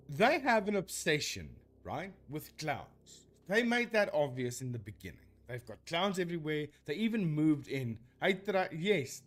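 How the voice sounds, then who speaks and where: Animated man rambling on stream. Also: fairly high pitch. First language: Afrikaans. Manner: rambling, self-correcting, animated. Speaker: streamer debunking ghost videos. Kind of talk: casual live-stream commentary.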